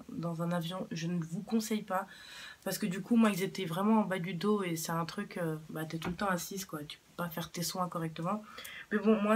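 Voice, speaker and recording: A young woman talking casually to camera in a vlog. She speaks frankly, with plenty of fillers and the odd swear word. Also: medium pitch. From Cuba. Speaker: vlogger.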